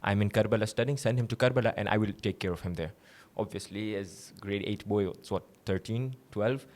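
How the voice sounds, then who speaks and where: Young male guest from Tanzania, low-pitched and easygoing, recounting his path with a smile. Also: brisk. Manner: relaxed storytelling with light laughs. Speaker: guest, seminary student. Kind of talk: casual interview.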